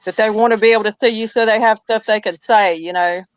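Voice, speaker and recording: A woman's voice: medium pitch, steady tone, fast talker, clear, resonant tone, dry recording. A woman, chatting casually and telling a rambling personal story. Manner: rambling, talks through restarts and hesitations. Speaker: co-host telling ghost stories. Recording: muffled lo-fi audio.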